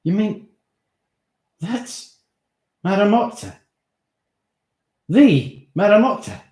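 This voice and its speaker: A man voicing an excitable, hot-tempered schoolboy who yells in a high, shrill voice. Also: drawn-out words, heavily acted. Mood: shocked. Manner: yelling insults, high-pitched and shrill. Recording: roomy echo.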